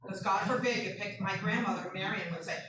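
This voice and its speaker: A woman's voice: mid-range voice, fast, fairly bright tone. An American woman co-presenting a talk in a chatty storytelling way, putting questions to her listeners. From northeastern USA. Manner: chatty, engages the audience with questions. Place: echoey indoor presentation room. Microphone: far room camera or microphone. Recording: very echoey room, distant mic.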